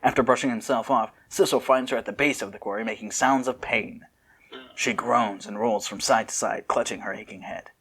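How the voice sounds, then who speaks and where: Bright, forceful man reading a story. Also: fairly low pitch, steady tone, brisk, husky. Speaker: story narrator and host. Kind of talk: amateur story narration with joking asides.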